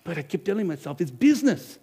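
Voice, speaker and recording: A middle-aged innkeeper who counts every coin and still feels the guilt, as a man plays him in a monologue. His voice sits high and jumps about restlessly. He talks with emphatic force, then sinks low. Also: fast, breathy edge, heavily acted. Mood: guilty. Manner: animated, emphatic, swings loud to hushed. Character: middle-aged male innkeeper, money-minded and guilt-ridden. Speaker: actor. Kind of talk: dramatic first-person monologue.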